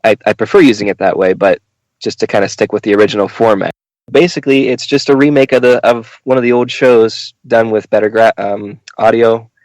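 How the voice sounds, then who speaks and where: A man speaks as an interview guest, explaining casually and a little tentatively, correcting himself. His voice is fairly low and recorded dry and close. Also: fairly quick, darkish tone, no room sound, low-quality recording. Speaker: interview guest, audio drama director.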